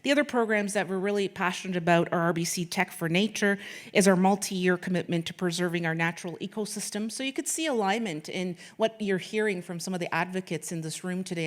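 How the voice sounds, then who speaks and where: A woman in her late thirties, from Afghanistan, giving an earnest speech at an event with frequent restarts and fillers. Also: on the low side. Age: late 30s. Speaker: corporate sponsor speaker.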